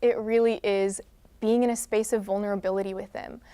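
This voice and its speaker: A woman's voice: mid-range voice, fairly even intonation, warm tone, smooth. A young woman speaking earnestly and reflectively as a guest in a faith interview. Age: young adult. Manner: earnest, measured, devotional. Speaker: guest, student leader.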